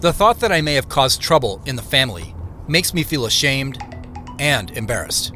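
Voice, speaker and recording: A reflective man confiding his story in first-person audio drama narration. The voice is bright and varied in pitch, earnest and measured, over faint music. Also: sing-song. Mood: ashamed, reflective. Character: introspective man confiding his guilt on a journey.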